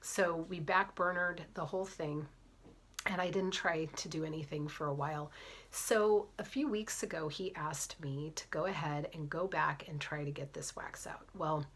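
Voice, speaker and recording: A middle-aged woman with a cold, chatting to camera as she tells a story, often dropping into soft whispered asides. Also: low-pitched, fairly even intonation, normal speed, husky. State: has a cold. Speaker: vlogger, physician assistant and fitness coach.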